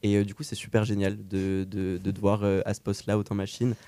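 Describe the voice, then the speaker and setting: Guy hosting a chatty interview, lowish mellow voice, keen but hesitant. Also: level tone, fairly quick, clear, resonant tone, no room sound. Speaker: interview host. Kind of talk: informal radio-style interview.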